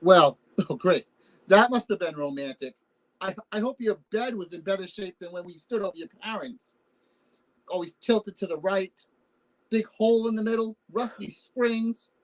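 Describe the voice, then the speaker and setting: A man acting the part of a teasing, sentimental partner in a loving couple in a play reading, with halting, bantering delivery. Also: high voice, natural voice, low-quality recording. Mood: sarcastic, hurt. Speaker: actor in a staged reading. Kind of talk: naturalistic stage play reading. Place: remote virtual reading over video call.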